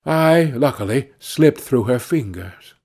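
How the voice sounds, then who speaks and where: A man's voice: mid-range voice, expressive, slow pace, gravelly, darkish tone, slightly rough, full character voice. A man voicing a plaintive, helpful talking straw from a storybook, with formal old-fashioned phrasing. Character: talking straw, lamenting lost brethren, hopeful and helpful.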